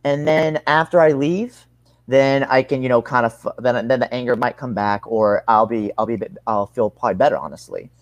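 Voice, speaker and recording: A man's voice: mid-range voice, normal speed, no room sound, highs cut off. A young man giving casual interview answers as a combat sports athlete, earnest and rambling, often rephrasing himself.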